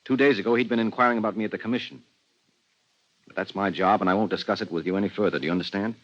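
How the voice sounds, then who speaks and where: Blunt cop husband in an old radio play, low voice, guarded, muffled vintage recording. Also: male voice, steady tone, rapid-fire, a bit bright. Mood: weary, curt. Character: guarded, curt police investigator husband. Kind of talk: 1950s radio drama acting.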